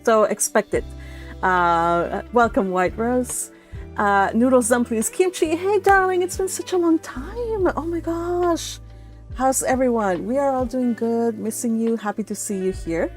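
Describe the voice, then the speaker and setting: A woman's voice: a little high, a little slow. A woman hosting a casual livestream, reading and answering chat in an effusive, affectionate way with her viewers. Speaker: live stream host reading and answering chat. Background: music.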